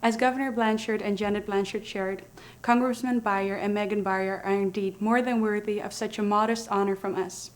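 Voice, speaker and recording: A woman's voice: mid-range voice, steady tone, moderate pace. A woman giving a formal scripted presentation as the presenter of an award.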